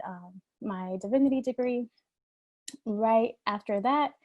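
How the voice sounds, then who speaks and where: A woman's voice: mid-range voice, warm tone, no echo. Chatty woman panelist, dry close sound. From Ecuador, raised in New York City. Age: 30s. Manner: chatty, friendly, laughs between remarks. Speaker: alumni panelist. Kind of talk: casual panel talk.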